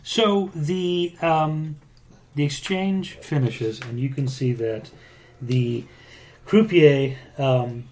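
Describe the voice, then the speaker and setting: A man's voice: on the high side, darkish tone. Man running a tabletop game. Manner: describes scenes with fillers and quick asides. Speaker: game master. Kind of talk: narrating a tabletop spy game.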